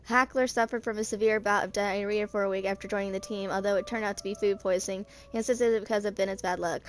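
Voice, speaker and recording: A woman's voice: mid-range voice, fairly even intonation, quick pace. A woman in her twenties reading story text aloud in an amateur way, with small stumbles. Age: 20s. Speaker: video creator reading game character lore.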